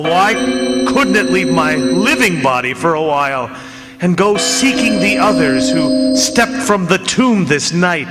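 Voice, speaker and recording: Condemned innocent man, radio horror drama. Higher-set voice, anguished theatrical pauses, cavernous reverb. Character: condemned innocent man awaiting hanging, desperate, haunted. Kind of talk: old-time radio horror drama, first-person narration. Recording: long reverb, loud music underneath. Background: loud dramatic music.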